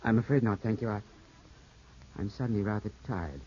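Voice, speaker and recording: A man playing a jealous, hot-headed young gentleman in a 1950s radio drama, formal, clipped and intense, sometimes narrating in the first person. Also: lowish voice, fairly quick, husky. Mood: cool, guarded. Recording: dull 1950s radio broadcast, music behind.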